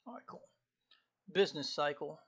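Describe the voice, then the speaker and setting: Guy on a study stream, kinda high voice, keeps clearing his scratchy throat, chill self-talk. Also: expressive, moderate pace, fairly bright tone. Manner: frequent throat clearing, laid-back, reciting notes from memory. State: throat irritated by caffeine.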